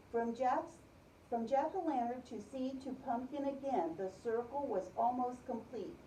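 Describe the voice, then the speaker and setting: A woman's voice: sits high, unhurried, dark tone, slight background noise. A woman teacher reading a picture book aloud to a preschool class, in the manner of storytime with questions. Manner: repeats and affirms pupils' answers. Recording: roomy, distant microphone.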